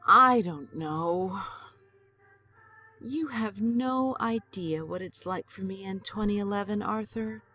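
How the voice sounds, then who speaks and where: An actress playing an elderly grandmother and wife, gentle and earnest, in an audio drama. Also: mid-range voice, slow, soft background music. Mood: troubled. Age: older. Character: elderly grandmother, lonely and worried.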